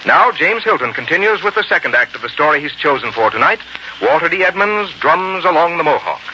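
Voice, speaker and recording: A man working as a 1940s radio announcer, reading scripted copy in a polished, persuasive, deliberate way. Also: a little high, very animated. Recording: dull old radio broadcast, faint noise.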